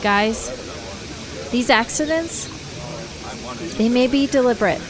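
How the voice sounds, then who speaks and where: A woman's voice: a little high, slow pace, crowd noise. A woman acting in a radio drama as a guarded but passionate activist, speaking earnestly and insistently. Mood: urgent, hesitant.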